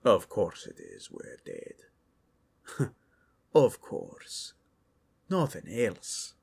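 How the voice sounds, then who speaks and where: A man voicing a dignified male dwarf high priest in a fan audio drama, speaking in formal, old-fashioned phrasing. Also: huge pitch swings, very slow, vocal fry, husky, full character voice. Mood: stately.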